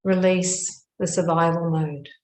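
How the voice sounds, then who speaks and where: Female meditation guide, low and very even in tone, measured hushed phrases with pauses, roomy echoing recording.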